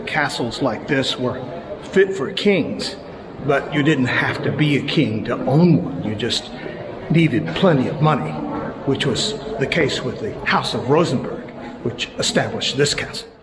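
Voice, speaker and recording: A middle-aged man hosting a travel show, narrating in a relaxed, conversational way with small hesitations as he walks around outdoors. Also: mid-range voice, unhurried, rough recording. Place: outdoors in a busy tourist town. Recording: crowd chatter behind the voice. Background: crowd of tourists.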